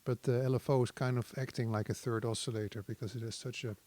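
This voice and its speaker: A man giving a casual technical tutorial, thinking aloud in a hesitant, halting, analytical way. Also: medium pitch, fairly even intonation, dark tone. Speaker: synthesizer demonstrator.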